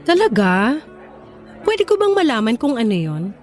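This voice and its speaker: A young woman voicing a supportive girlfriend in casual, expressive radio drama dialogue. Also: a little high, normal speed. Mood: reassuring. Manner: expressive, casual, sympathetic, code-switching into English. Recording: faint music under dialogue.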